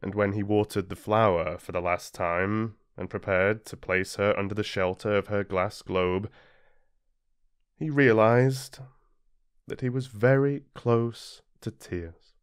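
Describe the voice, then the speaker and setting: A man's voice: lowish voice, fairly even intonation, takes it slowly, smooth, dry recording, studio quality. A British man narrating a children's storybook expressively, as for an audiobook. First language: English.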